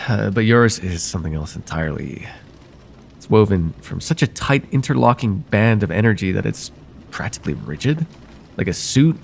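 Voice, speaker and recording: A man playing a soothing, quietly possessive vampire therapist in an ASMR roleplay, soft-spoken and deliberate, with formal wording and hypnotic pauses. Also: fairly low pitch, vocal fry, slight background noise. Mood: clinical, probing. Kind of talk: ASMR roleplay, slow intimate monologue.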